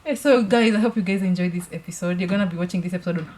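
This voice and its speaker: Fast-talking Kenyan woman podcast host. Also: on the low side, a little mellow. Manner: mixes English with Sheng slang. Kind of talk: casual chat.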